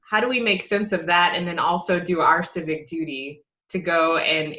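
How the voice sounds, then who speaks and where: A woman's voice: on the low side, fairly even intonation, moderate pace, pushed and bright. Young woman interviewer on a video call. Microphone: video call webcam.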